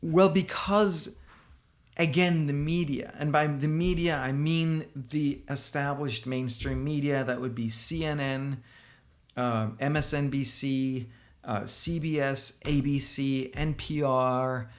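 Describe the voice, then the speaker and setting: A man from Denmark with a Danish accent, a software company founder giving opinionated, casual commentary straight to the viewer. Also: medium pitch, steady tone, slow. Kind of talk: casual commentary responding to a blog post.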